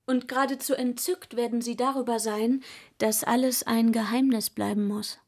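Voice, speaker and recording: An actress playing a pleading young chambermaid in a radio play, speaking intimately and wistfully. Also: female voice, fairly high pitch, steady tone, normal speed, dry recording, clean studio sound. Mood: wistful, hopeful. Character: pleading young chambermaid luring a man into secret shelter. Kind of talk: literary radio play acting.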